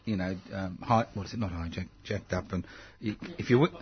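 Older man, deep teasing voice. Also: normal speed, slightly rough, lo-fi sound. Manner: teasing, wry. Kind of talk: casual conversation.

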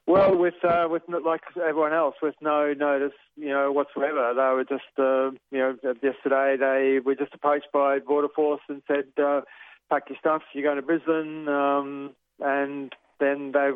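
A man's voice: mid-range voice, little pitch movement, normal speed, clean tone, no echo. A man giving a phone interview as a refugee advocate, hesitant with many fillers. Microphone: phone line. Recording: tinny phone line, dry.

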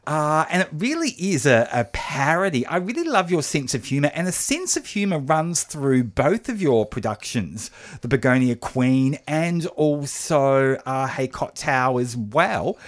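A woman's voice: deep voice, big pitch swings, unhurried. A woman hosting a conversational radio interview in a studio. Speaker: radio host.

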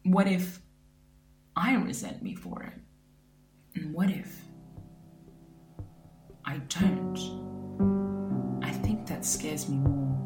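A woman's voice: low voice, loud music behind the voice. Woman poet, reflective spoken-word delivery, roomy echo. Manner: measured, reflective recitation.

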